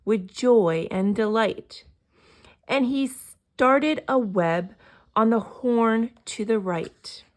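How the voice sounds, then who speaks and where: A woman reading a children's picture book aloud as an expressive storyteller. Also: a little high, drawn-out words.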